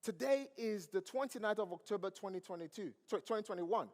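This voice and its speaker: Nigerian-accented male motivational speaker, high voice, crowd questions, ringing hall reverb. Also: a little fast. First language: not English. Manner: preachy, asks the crowd questions. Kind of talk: motivational stage speech. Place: large event hall.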